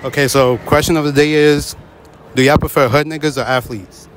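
A man's voice: fairly high pitch, steady tone, fairly quick, over music. A young man in his late teens hosting a casual street interview in a shopping mall on a handheld mic, talking in laid-back slang and repeating short affirmations.

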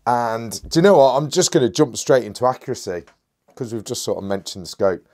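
A man, reviewing an air rifle in an enthusiastic, chatty way while hoarse and a bit stuffy from a cold. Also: mid-range voice, expressive, a little fast, darkish tone. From United Kingdom. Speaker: airgun reviewer. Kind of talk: casual product review.

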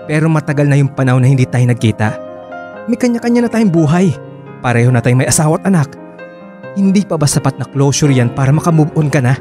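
A man's voice: on the high side, lively intonation, quick pace, music in the background, natural voice. A man playing a reluctant, earnest married ex-boyfriend who reasons and questions, in a Filipino radio drama. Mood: reasoning.

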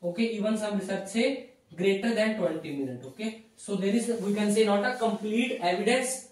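A man's voice: high-pitched, moderate pace. A man in his thirties with an Indian accent giving a didactic whiteboard lecture in short, repeated phrases. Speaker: physiotherapy lecturer. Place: small indoor classroom at a whiteboard. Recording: very echoey room, distant microphone.

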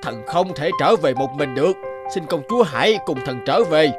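A man's voice: on the high side, expressive, normal speed, over music, close to an everyday voice. A man as a formal, insistent heavenly guard. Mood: dutiful, insistent. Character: dutiful, firm male celestial guard.

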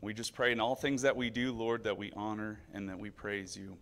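A man's voice: fairly low pitch, level tone, brisk, fairly bright tone. A middle-aged man leading a children's sermon in a church, asking the children lots of friendly questions with frequent fillers. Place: church sanctuary with pews. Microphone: far camera.

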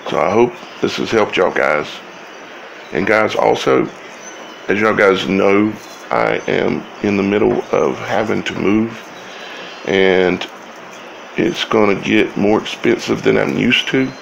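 A man giving informal scripture commentary as a video host, speaking in halting, broken phrases with long pauses. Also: low-pitched, gravelly, a little hiss or hum, rough recording.

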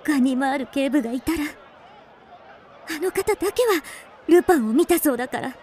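A woman's voice: high voice, animated, brisk, darkish tone, a faint crowd, a little hiss or hum. A woman playing an anxious, genteel young upper-class lady in a radio drama, speaking politely. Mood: anxious, curious. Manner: genteel, polite feminine speech.

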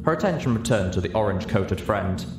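Male audiobook narrator, lowish voice, scripted first-person storytelling over clear music. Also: lively intonation, quick pace. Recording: roomy sound.

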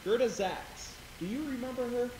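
A young man plays a worried townsman in a stage drama. His voice is high. It echoes in the theater with a faint noise. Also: normal speed. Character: worried townsman bringing news to nuns. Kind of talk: amateur stage acting in a high school play. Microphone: distant camera. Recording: echoey stage, hissy distant camera.

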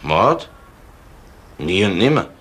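A man's voice: low-pitched, big pitch swings, slow pace, fairly bright tone, exaggerated voice. An actor playing an earnest, protective middle-aged or older father in a German crime radio play. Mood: earnest, insistent. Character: protective father vouching for a friend.

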